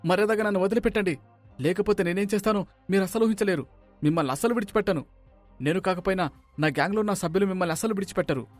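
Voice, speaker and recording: A man's voice: sits high, rapid-fire, no room sound, faint music behind, natural voice. A man voicing a suspicious, threatening gangster in a dramatic story, urgent and menacing. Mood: angry, threatening. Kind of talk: dramatic moral-story voice acting.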